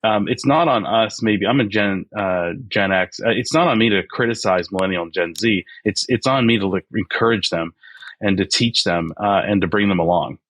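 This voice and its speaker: A man's voice: fairly low pitch, moderate pace, fairly bright tone, dry recording. A man in his forties, a podcast guest, talking earnestly with many fillers and restarts. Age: 40s. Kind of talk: reflective interview talk.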